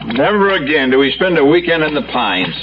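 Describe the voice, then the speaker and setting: An actor playing a fed-up, complaining husband in a radio drama, exasperated and grumbling. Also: male voice, on the high side, big pitch swings, brisk, noisy background. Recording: muffled old radio broadcast, background voices.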